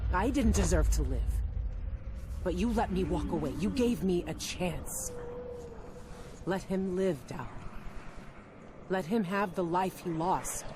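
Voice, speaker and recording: An actress playing a weary, compassionate former assassin in a video game cutscene, speaking in an earnest, measured, solemn way. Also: female voice, medium pitch, background noise. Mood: pleading.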